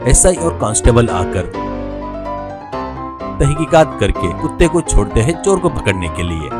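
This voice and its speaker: A man's voice: medium pitch, sing-song, unhurried. A man narrating a scripted story as a storyteller. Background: music.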